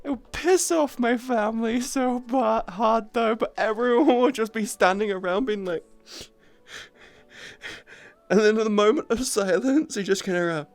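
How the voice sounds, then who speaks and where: A woman's voice: fairly high pitch, measured pace, darkish tone, no room sound. Casual woman live streamer, music behind. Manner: informal, sweary rambling. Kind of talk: casual chat with stream viewers. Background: background music.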